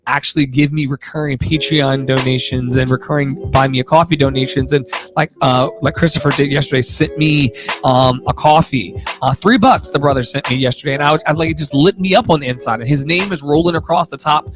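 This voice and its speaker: Effusive, high-voiced man rambling on a livestream. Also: a little fast, music in the background. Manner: effusive, profane, slangy. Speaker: singer hosting a live audio room show. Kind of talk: casual, rambling livestream chat.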